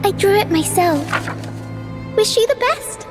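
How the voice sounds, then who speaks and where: A woman voicing a shy, affectionate little girl in a video game cutscene, with a light, gentle, hesitant delivery. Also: very high-pitched, expressive, a little slow, over music.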